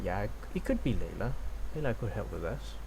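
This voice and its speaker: A man's voice: fairly low pitch, big pitch swings, a little fast, darkish tone, slight background noise, poor audio. A man giving casual, hesitant gameplay commentary, thinking aloud. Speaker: game streamer. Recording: game music behind voice.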